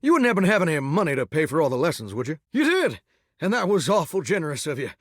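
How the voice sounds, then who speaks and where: An actor voicing a lovesick talking shower in a game, with a drawl and a folksy, earnest way of talking. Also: male voice, on the high side, very animated, quick pace, no room sound, studio quality. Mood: wheedling. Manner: folksy, earnest and sweetly corny. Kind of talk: video game character dialogue.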